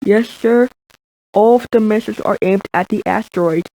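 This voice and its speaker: A woman plays an obedient military subordinate in a homemade disaster drama. Her voice is soft-edged and mellow, at an average pitch, recorded very dry. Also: moderate pace, no room sound, natural voice, poor audio. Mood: dutiful. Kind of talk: amateur scripted disaster drama.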